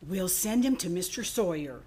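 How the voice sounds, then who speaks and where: A woman acting an excitable, dramatic store employee in a radio play, exclamatory and gushing. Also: on the low side, a little slow, husky. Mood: brisk, exasperated.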